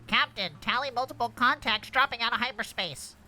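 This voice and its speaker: A woman's voice: a little high, fairly quick, pushed and bright. A woman reading the part of a procedural starship crew member calling out alerts in a sci-fi script. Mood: urgent, businesslike. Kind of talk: script table read.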